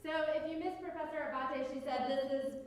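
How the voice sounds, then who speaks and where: A woman's voice: high-pitched, fairly quick. A woman moderating a live talk, explaining in a teacherly way for those listening. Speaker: moderator and college instructor. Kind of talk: moderating a live talk, restating for remote viewers. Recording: very echoey room, faint background noise.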